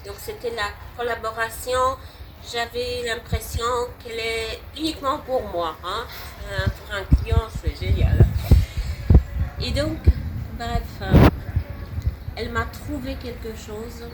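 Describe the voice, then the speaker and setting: A middle-aged woman from Poland with a Polish accent, speaking as a non-native and giving an unscripted client testimonial, halting and emphatic, often restarting and repeating words. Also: mid-range voice. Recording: steady background noise.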